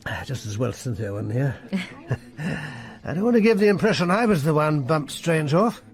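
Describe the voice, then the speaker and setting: A man's voice: on the high side, very animated, moderate pace. Jittery, posh, swooping English military man. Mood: tipsy suspicion. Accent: upper-class English. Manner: blustering, stammering, slurred old-fashioned slang. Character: jittery hard-drinking upper-class English military man, paranoid and bluff. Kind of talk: radio drama acting.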